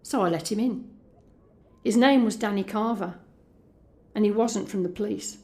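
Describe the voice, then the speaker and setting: A woman voicing a traumatised, vengeful middle-aged mother, flat, hushed and bitter, in an audio drama. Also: medium pitch, moderate pace, natural voice. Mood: grim, controlled. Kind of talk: recounted dialogue in audio drama.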